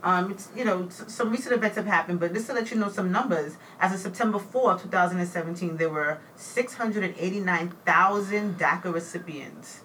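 A woman co-host reading out facts and figures in a steady, matter-of-fact tone, her voice fairly low for a woman. She sits back from the microphone, and faint room ambience hangs behind her. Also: a little fast, hardly any pauses, distant mic, a little hiss or hum, rough recording.

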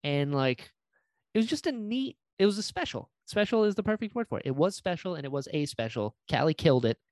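A man's voice: a little high, very animated, fairly quick, darkish tone, no room sound. A man hosting a casual interview, talking in an enthusiastic, gushing way and teasing playfully. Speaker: podcast host and voice actor.

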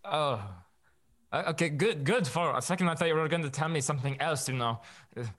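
A man's voice: a little high, brisk, a bit bright, natural voice. A man playing a pompous, boastful male space detective in a comedic radio play, grandiose and theatrical with scattered French phrases. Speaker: student comedy performer.

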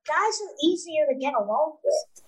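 High-voiced woman in her late twenties. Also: big pitch swings, moderate pace. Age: 20s. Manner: curious, asks questions, agreeable. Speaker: host. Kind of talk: casual interview.

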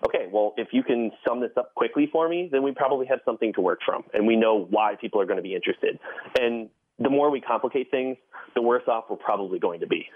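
A man's voice: mid-range voice, fast talker, no echo. A man speaking as a podcast guest and editor in a conversational interview, over a thin phone-like line.

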